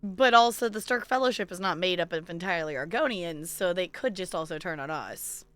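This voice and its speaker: A woman's voice: on the low side, lively intonation, fairly quick, a bit bright, soft background music. A woman livestreaming a game with casual, playful commentary, chatting and thinking aloud as she plays. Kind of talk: casual live gaming commentary.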